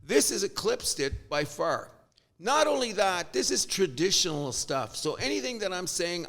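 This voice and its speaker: Middle-aged canadian guy ranting about sports, kinda high gravelly voice, gets worked up. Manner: animated, exasperated, swears casually. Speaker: sports podcast host. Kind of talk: opinionated sports rant.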